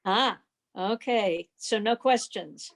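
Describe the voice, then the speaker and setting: A woman's voice: medium pitch, takes it slowly, gravelly. A woman informally moderating questions in an online club meeting.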